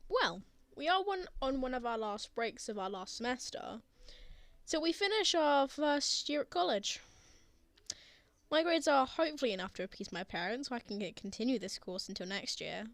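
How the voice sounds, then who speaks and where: A woman's voice: sits high, lively intonation, moderate pace, fairly bright tone, dry recording. A young woman playing a teasing, bashful but tender college student in an intimate roleplay audio drama. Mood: casual, reflective. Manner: playful teasing with bashful hesitations.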